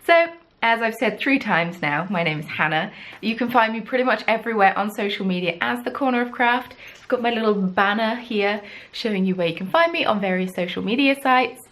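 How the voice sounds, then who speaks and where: A young woman with a Southern English accent talks casually to camera as a podcast host. She rambles and pokes fun at herself, with a middle-pitched voice. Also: fairly quick, a bit bright. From south of England. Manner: chatty, rambling, self-deprecating. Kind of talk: casual chat.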